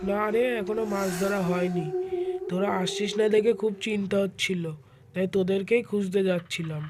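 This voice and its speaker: A woman's voice: mid-range voice, steady tone, fairly quick, loud music behind the voice, natural voice. A woman playing a worried young friend on a night picnic in a cartoon. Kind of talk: cartoon voice acting. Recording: noisy ambience behind the voice.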